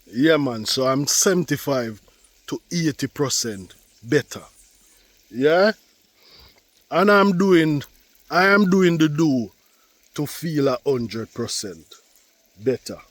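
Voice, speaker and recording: A man with a Jamaican accent chatting casually to viewers as a gardening vlogger, unhurried and repetitive, slightly congested with a cold. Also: a little high, animated, no room sound. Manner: unhurried, repetitive, with frequent "yeah" and "you know". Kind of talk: casual vlog talk to viewers. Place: outdoors in a garden.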